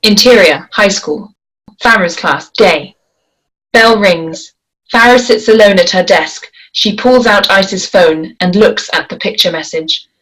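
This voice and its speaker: A woman reading stage directions aloud at a script table read, in a deliberate, measured way. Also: mid-range voice, fairly bright tone, poor audio.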